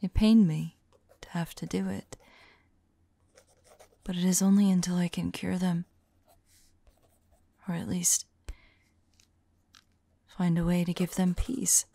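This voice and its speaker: A woman playing an anguished, lovelorn mage in an ASMR audio roleplay, speaking her journal entries in a soft, breathy, halting and mournful voice. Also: fairly low pitch, heavily acted. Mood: weary, pained. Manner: hushed, halting, mournful, intimate. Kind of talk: slow dramatic monologue, ASMR audio roleplay.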